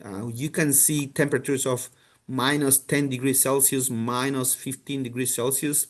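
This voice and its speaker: A man's voice: mid-range voice, little pitch movement, moderate pace. A man from Colombia, a university representative, answering questions on a video call, with many restarts and fillers. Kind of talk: casual answers in a virtual info session.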